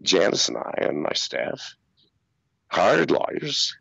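An older man telling stories in an interview over a video call, swearing freely and talking in an animated way. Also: low voice, slow, vocal fry, slightly rough, no echo, dull audio. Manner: profane, animated storytelling with laughs. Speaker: interview guest. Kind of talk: casual interview storytelling. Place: small room with acoustic foam walls. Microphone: video call wearing over-ear headphones.

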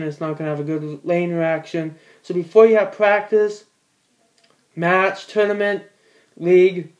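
A man's voice: a little high, a little mellow, highs cut off. A teenage boy in a small room at home, giving a casual how-to in a rambling, plain-spoken way with many fillers and restarts. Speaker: bowler demonstrating ball cleaning.

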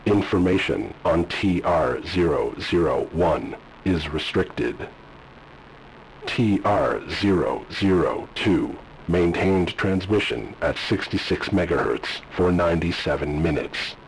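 A man voicing a flat, official narrator briefing agents on a classified file. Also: low-pitched, takes it slowly, a little hiss or hum, exaggerated voice, low-quality recording. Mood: clinical.

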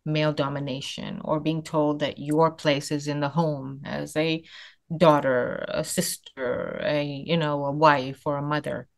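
A woman's voice: sits low, level tone, a little slow, gravelly. A woman in her fifties who grew up in Canada, a photographer and founder telling her story earnestly over a video call.

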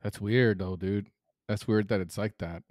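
Casting: male podcast co-host, lower register, casual and joking with slang, dry sound. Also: steady tone, moderate pace, darkish tone, dry recording.

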